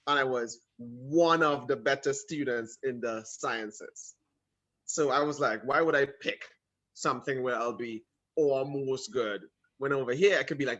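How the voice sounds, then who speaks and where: A man's voice: medium pitch, sharp tone, highs cut off. Trinidadian man chatting on a video call. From Trinidad and Tobago. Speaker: interview guest. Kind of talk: casual video call interview.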